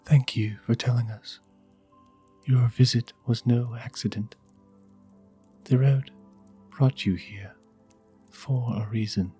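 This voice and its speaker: Man as a gentle holy woman, slow and lifted. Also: medium pitch, pitched above the performer's own voice, natural voice. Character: gentle, solemn holy woman offering comfort. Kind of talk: ASMR fantasy roleplay.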